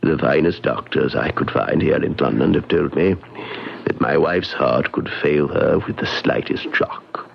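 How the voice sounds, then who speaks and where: Very deep, gravelly, despairing gentleman. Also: a little hiss or hum, exaggerated voice. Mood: grim, secretive. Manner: solemn, deliberate, weary. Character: despairing, ruined gentleman, grave and resolute husband. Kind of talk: old-time radio drama acting. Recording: dull lo-fi radio drama recording.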